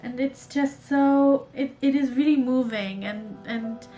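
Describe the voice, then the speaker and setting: A woman's voice: sits high, slow, dark tone, soft background music, rough recording. A woman from Germany with a German accent, talking earnestly and thoughtfully to camera about books in a casual vlog. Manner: earnest, thoughtful, with small restarts. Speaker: book vlogger.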